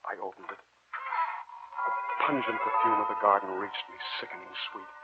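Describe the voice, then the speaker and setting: A man's voice: fairly low pitch, wildly swooping pitch, a little slow. An actor playing an ardent, anguished young man in love, in an earnest, theatrical 1940s radio drama style. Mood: haunted, determined. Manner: earnest, theatrical, impassioned delivery. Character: ardent, anguished young male lover narrating his tragic tale. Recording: muffled 1940s radio recording, background music.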